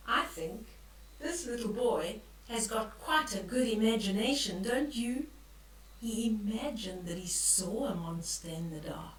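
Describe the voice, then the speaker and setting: An older woman reading a bedtime story aloud to young children, expressively, with drawn-out emphasis and questions for the listeners. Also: fairly high pitch, a bit bright. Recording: echoey room, distant from the mic.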